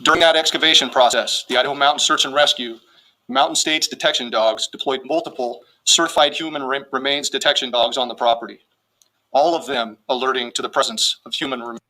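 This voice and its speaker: A man's voice: mid-range voice, a little fast, bright, forceful tone, low-quality recording. A man reading out a formal press statement as a police spokesman, in official wording.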